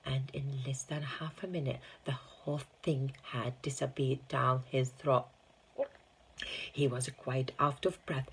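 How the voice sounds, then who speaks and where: A woman narrates a children's story in a low-pitched voice. It is husky, with plenty of gravelly creak at the edges. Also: rough recording. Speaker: teaching assistant reading aloud.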